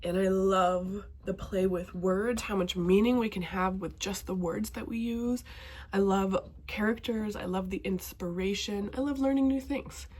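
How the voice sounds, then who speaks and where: A woman's voice: medium pitch, fairly even intonation, measured pace, a little mellow, slightly rough. Chatty young woman, stuffy with a cold. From northwestern Montana. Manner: chatty and enthusiastic. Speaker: BookTube vlogger. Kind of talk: casual talking-to-camera vlog.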